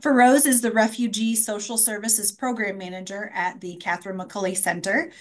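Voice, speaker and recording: A woman's voice: mid-range voice, steady tone, normal speed. Woman moderating a conference panel. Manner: reads introductions with frequent ums.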